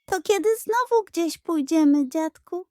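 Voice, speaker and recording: A woman's voice: extremely high pitch, animated, unhurried, a little mellow, dry recording, studio quality, voice pushed up. A woman voicing a curious, admiring young grandson in a children's storybook, with wide-eyed, eager questioning. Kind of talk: children's audio storybook character.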